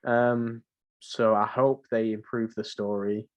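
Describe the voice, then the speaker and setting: A man's voice: lowish voice, flat delivery, slow pace, highs cut off. A seventeen-year-old young man co-hosting a podcast, chatting casually about games in an informal, slangy, jokey way. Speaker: podcast co-host.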